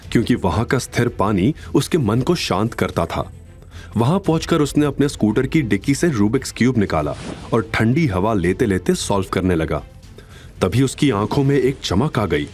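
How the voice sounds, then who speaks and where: A man's voice: lowish voice, darkish tone. A man narrating an audio drama as dramatic, scripted storytelling. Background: background music.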